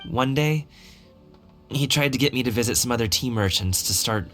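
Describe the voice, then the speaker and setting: A man's voice: medium pitch, moderate pace, fairly bright tone, close to an everyday voice. A man voicing a friendly, earnest young male dancer in a video game, speaking in an easygoing, casual way. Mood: earnest, wistful. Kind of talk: video game character acting. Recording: faint game music behind the voice. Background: faint game music.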